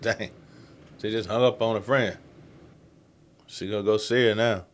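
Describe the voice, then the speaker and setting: A man's voice: fairly low pitch, steady tone, moderate pace. A man giving casual, slangy reaction commentary as the host of the video. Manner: colloquial, slangy.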